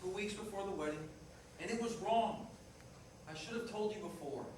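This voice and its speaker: A man's voice: sits high, normal speed, darkish tone, close to an everyday voice. A man playing a frank, remorseful working-class ex-fiancé in a staged reading, speaking in a plain, matter-of-fact way. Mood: frank, contrite. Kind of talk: staged reader's theater dialogue. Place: indoor performance room, distant microphone. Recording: echoey noisy room recorded from far off.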